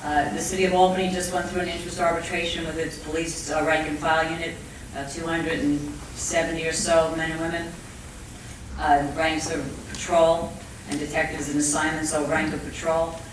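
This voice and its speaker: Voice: medium pitch, level tone, fairly quick. A middle-aged or older panelist with a Brooklyn accent, talking off the cuff in a conversational, plain-spoken and emphatic way. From Brooklyn, New York, USA. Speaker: labor relations negotiator, conference panelist. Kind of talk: panel talk, speaking off the cuff. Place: indoor conference room with audience. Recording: echoey hall, distant mic, faint noise.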